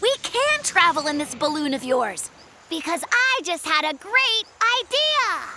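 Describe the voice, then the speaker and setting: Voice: very high voice, big pitch swings, unhurried, noisy background, full character voice. An actor voicing a cautious, sensible and friendly little brown bear cub in a children's cartoon, speaking earnestly. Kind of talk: children's animated cartoon dubbing.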